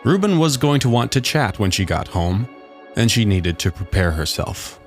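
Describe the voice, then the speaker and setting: A man's voice: on the low side, very animated, normal speed, faint music behind. A man reading a scripted story aloud as its narrator.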